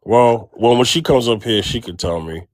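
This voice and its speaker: A man's voice: mid-range voice, moderate pace, dry recording, studio quality. A man hosting a live relationship talk stream, chatting casually and teasing his guests playfully. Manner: playful, teasing, lively delivery. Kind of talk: casual chat.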